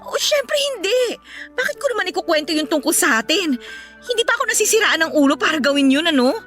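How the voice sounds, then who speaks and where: An actress playing a hesitant, loyal Filipina widow in a Tagalog radio drama. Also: female voice, very high-pitched, very animated, quick pace, fairly bright tone, soft background music, exaggerated voice. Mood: hesitant, guarded.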